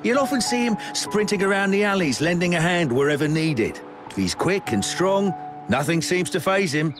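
An actor playing a kindly, chatty snack shop chef in a video game, with a folksy, expressive way of talking. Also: male voice, sits high, normal speed. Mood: fond. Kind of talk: animated game dialogue. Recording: game music behind the voice.

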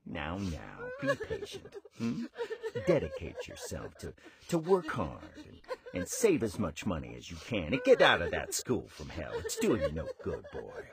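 A man's voice: low-pitched, wildly swooping pitch, crowd noise, exaggerated voice. A man playing a sinister grown ice cream man in a horror game, brooding and coaxing, with a theatrical delivery. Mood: conspiratorial, promising. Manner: slow, brooding, theatrical with sudden swoops. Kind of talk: horror game cutscene acting.

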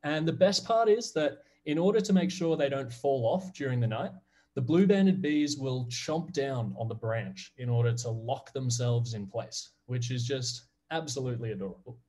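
A man's voice: medium pitch, animated, moderate pace, darkish tone. A man in his thirties from Australia with an Australian accent, giving a friendly, upbeat online class presentation to kids over a video call. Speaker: guest presenter.

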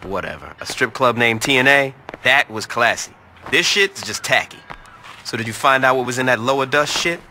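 An actor playing a gruff young gang leader in a video game, curt, dry and sarcastic. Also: male voice, medium pitch, lively intonation, normal speed, bright, forceful tone, big-room sound, a little hiss or hum. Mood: sardonic.

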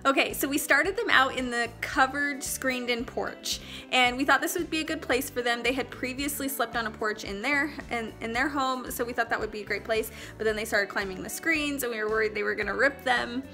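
A woman's voice: a little high, brisk, over music. A young woman vlogging about her home, talking chattily and upbeat straight to the camera through an earbud mic. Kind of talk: casual vlog chat to camera. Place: indoors, living room with ceiling fan. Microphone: wireless earbud mic.

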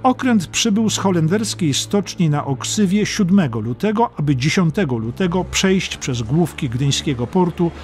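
A man reading a scripted historical audiobook as narrator, in a measured, dramatic storytelling manner. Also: fairly high pitch, expressive. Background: music under the narration.

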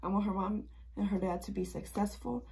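A woman's voice: mid-range voice, steady tone, a little fast, darkish tone. Earnest chatty woman aged nineteen. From Chicago area. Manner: chatty, earnest, many fillers like "you know". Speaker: beauty vlogger. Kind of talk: casual talk to camera while doing makeup. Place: small indoor room in front of a painted brick wall.

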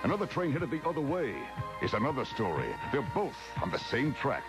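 A man's voice: mid-range voice, very animated, a little fast. An American man as a promo announcer, reading a 1980s script dramatically in clipped phrases. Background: music.

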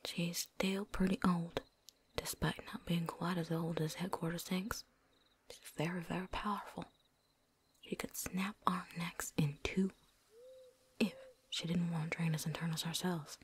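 A woman playing a seasoned, wary but friendly vampire hunter in a hushed, folksy ASMR roleplay whisper. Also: lowish voice, flat delivery, measured pace, a little hiss or hum, lightly acted. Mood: wary, worried. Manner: hushed, folksy drawl, teasing.

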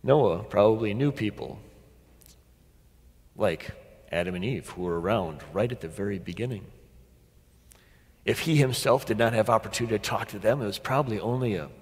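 A middle-aged man preaching a sermon as a pastor, deliberate and dramatic, with pauses and emphatic repetition. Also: fairly low pitch, husky. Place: large church sanctuary, at the pulpit. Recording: long church reverb.

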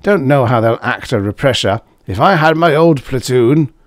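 A man voicing a brash, tipsy young male drill leader who hiccups and barks orders, in a lively, loud way. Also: mid-range voice, normal speed, dry recording, studio quality, voice pushed up, natural voice. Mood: tipsy, boastful. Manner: boastful, gruff barking orders.

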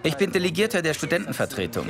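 A man's voice: on the high side, sing-song, fast talker, over loud music. A young man voicing a documentary subject in a German dub, chatty and eager to introduce himself.

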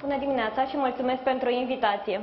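A woman in her thirties, a doctor giving measured, formal explanations as a guest in a live news interview. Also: high-pitched, soft background music. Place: indoors in a hospital, live video link. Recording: slightly dull sound, faint background noise.

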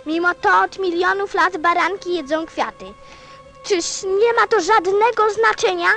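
A woman's voice: very high voice, a little slow, bright, forceful tone, soft background music, exaggerated voice. A woman playing a small, sensitive little prince in a radio play, with a childlike, earnest, questioning manner. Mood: upset, indignant.

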